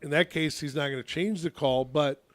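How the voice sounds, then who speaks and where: A man, a youth softball coach, telling a long story on a casual radio talk show, with a naturally loud voice and many restarts. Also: a little high, moderate pace, dry recording.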